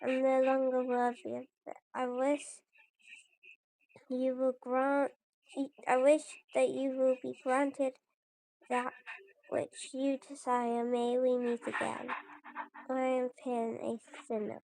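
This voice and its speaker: A woman reading video game dialogue aloud in a halting way, often stumbling and correcting herself. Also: high voice, completely flat delivery, gravelly, a little mellow, smooth, low-quality recording. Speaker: streamer playing and voicing a video game.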